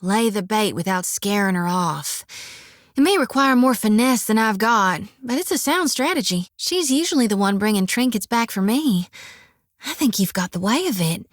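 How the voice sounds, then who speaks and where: A woman's voice: medium pitch, animated, normal speed, dry recording, natural voice. An actress voicing a folksy, earnest young woman priest of death in a video game, with a drawl. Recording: dry studio recording.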